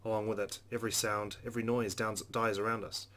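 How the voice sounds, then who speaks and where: Low-voiced man reading a story aloud. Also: flat delivery, quick pace, fairly bright tone. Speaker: let's play host reading a visual novel aloud. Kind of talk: expressive read-aloud narration with casual asides.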